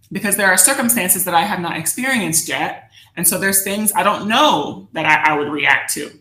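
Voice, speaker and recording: An American woman giving an instructional presentation in a deliberate, reflective manner, often posing questions to her listeners. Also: fairly low pitch, bright, forceful tone. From United States, New Jersey suburbs. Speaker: workshop presenter, educator. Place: indoor room, virtual session. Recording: roomy echo on the voice.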